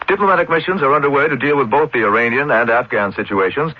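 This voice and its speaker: A fast-talking American man reads a network news bulletin. His voice is slightly rough and husky, and the sound is the muffled, limited band of an old radio broadcast. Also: medium pitch. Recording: dull vintage AM radio broadcast.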